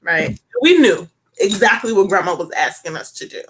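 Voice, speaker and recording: A woman's voice: mid-range voice, expressive, moderate pace, a little hiss or hum, poor audio. A woman hosting a talk show in casual, chatty conversation, often adding fillers and tag questions. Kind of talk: casual chat.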